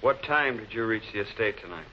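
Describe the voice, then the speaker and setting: A blunt police lieutenant acted by a man talks in a lowish, clipped, confident voice. The 1940s crime drama delivery sits on a muffled film soundtrack with clear noise. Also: lively intonation, fairly quick, lightly acted. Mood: blunt, questioning. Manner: blunt, clipped, matter-of-fact.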